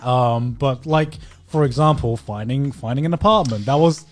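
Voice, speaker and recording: A man co-hosting a casual podcast, talking in halting bursts with many restarts. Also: medium pitch, soft background music. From Thailand. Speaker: podcast co-host.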